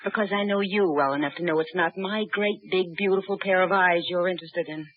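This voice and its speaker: An actress playing a wisecracking young secretary in a 1950s radio drama, teasing and sassy. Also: female voice, fairly low pitch, fairly quick, lightly acted. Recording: tinny old radio broadcast with music.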